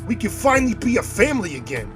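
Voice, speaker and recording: An actor playing a boastful, belligerent drunken gangster father with rough streetwise talk in a comic-book audio drama. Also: sits high, sing-song, fast talker, faint music behind. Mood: excited, insistent.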